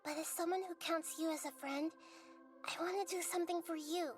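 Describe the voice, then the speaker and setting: A high, youthful voice over soft music, a wise child goddess from a fantasy game. She is gentle and earnest, choosing her words in a measured, articulate way. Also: fairly even intonation. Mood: earnest, tender. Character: gentle, wise young girl deity of a nation. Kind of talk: scripted video game voice acting.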